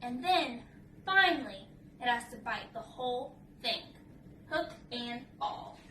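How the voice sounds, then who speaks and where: Father pig for a picture book, instructive and kindly encouraging, bright, distant from mic; female reader. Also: fairly high pitch, animated, slow, exaggerated voice. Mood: patient. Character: patient, encouraging father pig teaching his son. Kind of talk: picture book read-aloud. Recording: roomy, distant mic with faint noise.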